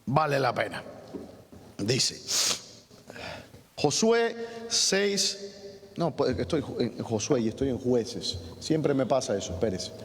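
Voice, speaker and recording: A Cuban-accented man preaches from the pulpit. He reads scripture aloud and stops to explain it to the congregation. His mid-pitched voice rings out with a long reverb in a church hall. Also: lively intonation, takes it slowly, long pauses, slightly rough. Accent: Cuban Spanish. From Cuba. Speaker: pastor. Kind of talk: sermon with scripture reading. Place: church sanctuary.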